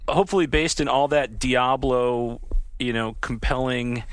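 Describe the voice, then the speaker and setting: A man chats as a guest about making a game, talking with eager energy and lots of filler words. His voice is mid-pitched. The mic is close and dry. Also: fairly even intonation, measured pace, dry recording. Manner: enthusiastic storyteller, many "you know" fillers. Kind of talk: casual interview chat.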